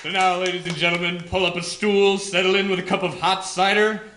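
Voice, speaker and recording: A man's voice: high-pitched, little pitch movement, a little fast, pushed and bright, a little hiss or hum. Echoey male radio show announcer. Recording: echoey stage sound.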